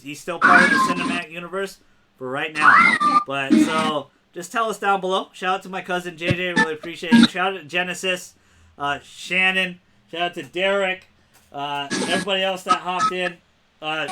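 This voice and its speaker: Man, high voice, very lively pitch, excitable podcast host, loud crowd behind. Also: heavy background noise. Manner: excitable, laughs often, talks fast in bursts. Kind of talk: casual chat.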